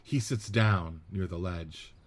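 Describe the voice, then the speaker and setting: Low-voiced man reading stage directions. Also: slow pace. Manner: steady, descriptive reading. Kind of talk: scripted radio play narration.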